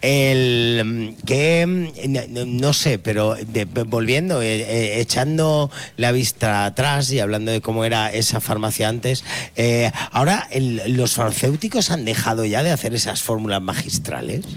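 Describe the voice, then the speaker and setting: A man hosting a local radio interview, full of pauses and restarts as he asks his questions. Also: mid-range voice. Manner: hesitant, many fillers and restarts, asks questions. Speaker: radio host.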